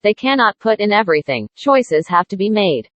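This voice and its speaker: Medium-pitched woman reading aloud, dry sound. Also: sing-song, normal speed, fairly bright tone, no echo, highs cut off. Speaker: read-aloud model reader. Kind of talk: scripted read-aloud of an academic passage.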